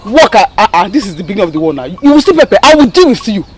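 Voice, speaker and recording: A woman's voice: high-pitched, big pitch swings, rapid-fire. An actress playing a jealous, vengeful young woman, shrieking and stammering threats through tears. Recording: loud music underneath.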